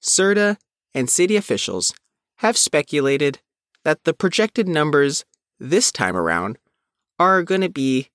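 A man's voice: a little high, very animated, a little slow, a bit bright, no echo, studio quality. A man reading a scripted news report as its reporter, in a narrated style. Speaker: reporter reading own written article.

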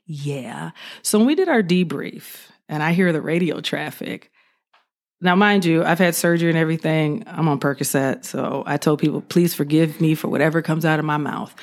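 Woman police officer telling a story, slightly low voice. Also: normal speed. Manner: animated, acts out her own quotes. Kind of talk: casual storytelling in an interview.

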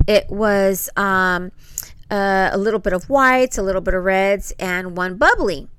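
A woman host casually telling personal stories in a chatty, meandering, excitable way. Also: mid-range voice, a little slow, clean tone, no echo.

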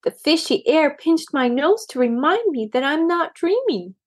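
Lady doing a nervous little kitten girl, high whiny begging voice, slow. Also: big pitch swings. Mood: indignant. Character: timid young girl kitten, fretful.

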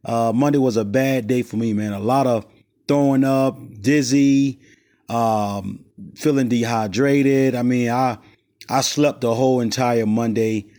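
Raspy guy doing his sports podcast off his phone, rambles a lot, lots of ums and restarts, mid pitch. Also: fairly even intonation, measured pace, a little mellow. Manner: loose, rambling, many fillers and restarts. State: raspy from a stomach virus. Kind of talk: casual sports talk. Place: not in the studio. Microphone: cell phone.

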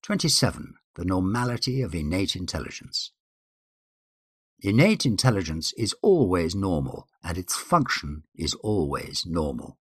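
A man giving a formal scripted reading of numbered statements in a deliberate, declamatory way with measured phrasing. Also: sits low, big pitch swings, husky, no echo, clean studio sound. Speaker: guest reader.

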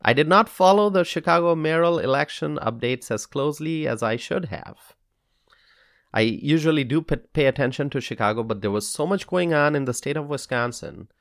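A man with a Bangladeshi accent hosting a solo political podcast, speaking in a deliberate, emphatic way and repeating phrases for weight. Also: on the high side, expressive, a bit bright, no room sound, studio quality.

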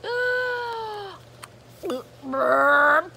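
A woman reading a rhythmic picture book aloud to children like a teacher, animated and sing-song, with asides to her listeners. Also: very high-pitched, creaky, slight background noise, poor audio. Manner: animated, sing-song, drawn-out exclamations and gasps; engaging listeners with asides and prompts. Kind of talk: rhythmic storybook read-aloud.

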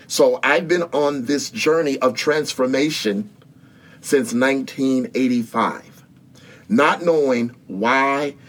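A man in his sixties talks about spiritual matters in an unhurried, measured way. His delivery is earnest and preacherly, and his pitch sits in a middle range. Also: fairly bright tone. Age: 60s. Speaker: guest. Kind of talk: spiritual interview talk.

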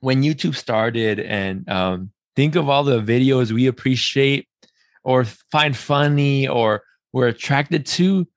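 A man's voice: medium pitch, slow pace, dry recording, studio quality. A man hosting a casual interview, thinking aloud in a rambling way and repeating words for emphasis. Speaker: podcast host.

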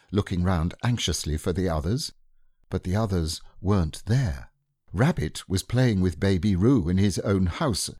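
A man narrating a classic children's storybook in a gentle, expressive way. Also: lowish voice, dry recording, clean studio sound. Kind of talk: storybook reading.

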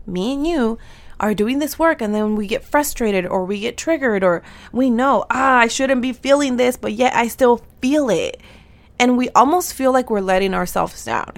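A woman in her thirties hosting a solo podcast, bubbly and affirming, her voice slightly nasal and raspy today. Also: on the high side, fairly bright tone. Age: 30s. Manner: bubbly, affirming, with slang and laughs. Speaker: podcast host and soul mentor. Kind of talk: upbeat solo podcast talk.

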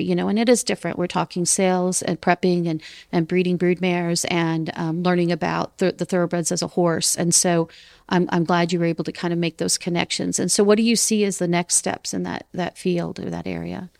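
A woman's voice: lowish voice, flat delivery, brisk, gravelly, a little mellow, no echo, studio quality. A woman from Miami hosting an interview podcast, encouraging and a little hesitant, with frequent fillers. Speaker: podcast host. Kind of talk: conversational interview.

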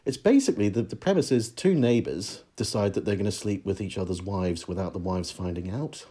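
Husky low-voiced Kiwi man. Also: lively intonation, brisk, warm tone. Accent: New Zealand. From New Zealand. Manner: dry, wry humour with frequent chuckles. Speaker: podcast guest. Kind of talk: casual chat.

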